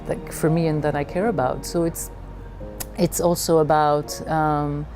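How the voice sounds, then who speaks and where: A middle-aged woman from Belgium telling her personal story in a reflective way, haltingly searching for her words. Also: deep voice, level tone, music in the background. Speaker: leadership coach.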